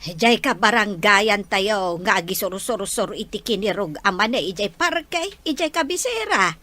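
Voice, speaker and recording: A woman playing a scolding, exasperated village wife in a radio drama, nagging and sharp-tongued. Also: mid-range voice, animated, normal speed. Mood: gossipy. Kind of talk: Filipino radio drama acting.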